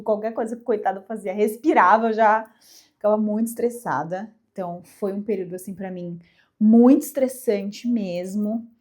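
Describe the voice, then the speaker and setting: Young woman, Brazilian accent, soft-edged mellow tone, congested from a cold, casual vlog chat, emphatic repetition. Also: mid-range voice. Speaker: vlogger. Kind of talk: casual personal chat to camera.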